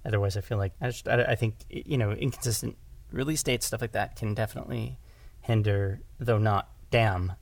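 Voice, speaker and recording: A man's voice: on the low side, fairly quick, gravelly, dry recording. A man who directs audio drama, talking casually in a podcast conversation and often correcting himself as he goes.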